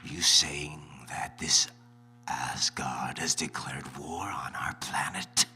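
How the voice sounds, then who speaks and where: A man playing a sinister, pompous council official with a breathy rasp in a live comedic read. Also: extremely low pitch, steady tone, takes it slowly, whispered, music in the background. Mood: menacing, pompous. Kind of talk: live comedic table read in cartoon voices.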